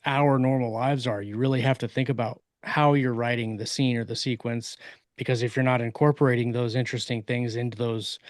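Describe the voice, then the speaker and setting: A man co-hosting a casual discussion, explaining his points in a halting, searching way. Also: medium pitch, steady tone, no echo, studio quality. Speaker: podcast co-host and author.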